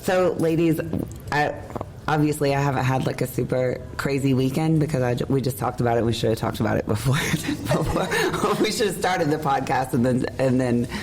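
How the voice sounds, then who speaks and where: A middle-aged woman with a hoarse, worn voice, hosting a casual podcast and rambling openly. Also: rumbling low, fairly quick, noisy background. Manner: rambling, emotional, laughs often. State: hoarse, lost voice. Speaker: podcast host. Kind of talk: casual chat. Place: room at an event hotel. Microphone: shared mic.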